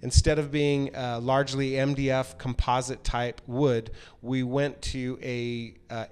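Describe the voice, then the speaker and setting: Clear-voiced middle-aged man presenting a product. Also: medium pitch, level tone, a little mellow. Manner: halting, pausing mid-phrase. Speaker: company presenter explaining a product design. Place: large hard-walled workshop room, indoors. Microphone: handheld microphone held close.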